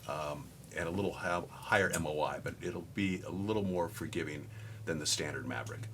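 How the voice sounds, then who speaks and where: A man's voice: low-pitched, a bit bright. A man representing a golf brand, explaining technical product details in a casual, conversational way. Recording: noticeable background noise.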